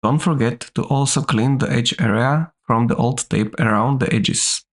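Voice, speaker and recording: A man's voice: medium pitch, normal speed. A man giving instructional, step-by-step narration as the presenter of a repair tutorial.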